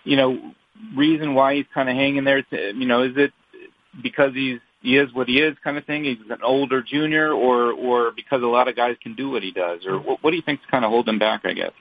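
A man, a reporter, casually asking questions on a press call in a hesitant, rambling way. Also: medium pitch. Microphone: telephone. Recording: dull, dry phone conference line.

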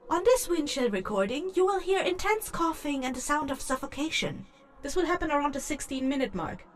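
A woman playing a playful spirit who talks down to little mortals with whimsical, teasing airs in a fantasy audiodrama. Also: high voice. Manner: teasing, whimsical, addresses listeners with airy condescension. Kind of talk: audiodrama character introduction and content warning.

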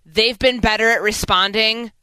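A woman sports radio host with a bright, forceful voice and very clear, crisp delivery. She gives fluent, assertive commentary in a dry, close studio sound. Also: fairly high pitch, steady tone, moderate pace, no echo, rough recording.

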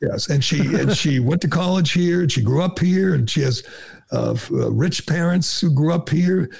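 A middle-aged man holds forth as a talk radio host. His voice has a heavy gravelly fry and a husky edge. He speaks in a sarcastic, mocking tone, with lots of "uh" and self-corrections. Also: a little high, normal speed, darkish tone. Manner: sarcastic, mocking, with frequent filler "uh" and restarts. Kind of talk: opinionated talk radio monologue.

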